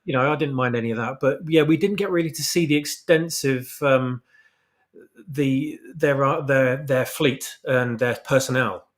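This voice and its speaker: Male podcast guest, medium pitch, loose conversational style, often restarts his phrases. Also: normal speed. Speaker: guest, fan audio drama creator. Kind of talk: casual chat.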